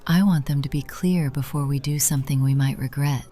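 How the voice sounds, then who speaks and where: A woman playing an affectionate, teasing yet cautious mature love interest in a visual novel, breathy and intimate. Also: a bass voice, a little fast, dark tone. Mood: tender, cautious. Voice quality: breathy, often whispery. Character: Nora, affectionate older aunt, teasing yet cautious lover.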